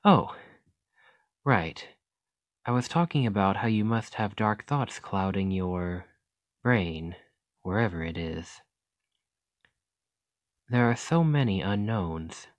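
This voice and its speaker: A man playing an earnest, chatty scholar in an ASMR roleplay, speaking gently and hesitantly as he rambles and interrupts himself. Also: lowish voice, lively intonation, takes it slowly, pauses often, no room sound. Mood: musing, rambling. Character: earnest, rambling male scholar gently reassuring a captive creature.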